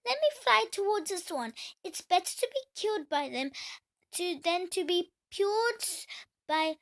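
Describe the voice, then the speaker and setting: A woman voicing a lonely, gentle young male duckling in a storybook, earnest and plaintive. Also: very high-pitched, expressive, measured pace, pitched above the performer's own voice, heavily acted. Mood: wistful.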